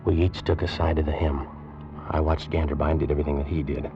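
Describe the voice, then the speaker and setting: Man telling a tense old radio story, very deep voice, music under it, dull old sound. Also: flat delivery. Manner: tense, slow, suspenseful telling. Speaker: narrator and character in the story. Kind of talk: scripted narration, 1940s radio drama.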